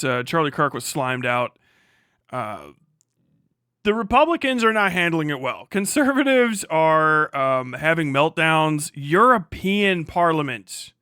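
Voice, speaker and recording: A man's voice: fairly high pitch, sharp tone, smooth, dry recording, studio quality. A man hosting a live stream in a casual, rambling, slangy and profane way, with some showy announcer-like address to viewers. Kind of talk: casual rambling livestream commentary.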